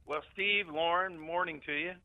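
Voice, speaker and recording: A man's voice: on the high side, normal speed, sharp tone, no echo. An older American man calling in to a radio show, giving casual sports opinions. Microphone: phone call. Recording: narrow phone line sound.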